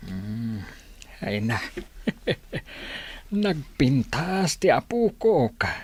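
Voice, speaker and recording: A man's voice: mid-range voice, big pitch swings, very slow, slightly rough, heavily acted. A man playing an affectionate elderly grandfather in a radio drama. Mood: delighted. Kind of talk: Philippine radio drama acting.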